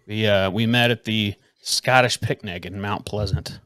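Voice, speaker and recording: A man's voice: fairly low pitch, a little slow, a bit bright, no echo. A man co-hosting a podcast, asking his guest questions in an easygoing, friendly way over a video call. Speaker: podcast co-host. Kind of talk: casual interview chat.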